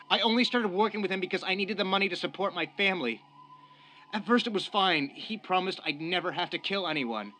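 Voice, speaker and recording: A man's voice: on the high side, pushed and bright, a little hiss or hum. A man playing a desperate, protective fugitive in a radio drama, speaking with earnest, pleading intensity. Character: desperate young man on the run, protective and determined.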